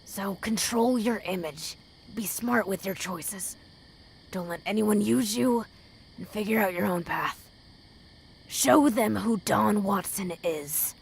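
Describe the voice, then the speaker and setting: Woman acting creaky-voiced world-weary ghoul drifter. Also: medium pitch, takes it slowly, exaggerated voice, rough recording. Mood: earnest warning. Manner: blunt, earnest advice-giving. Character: world-weary, tough female ghoul drifter, blunt but protective mentor. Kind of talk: fan audio drama acting.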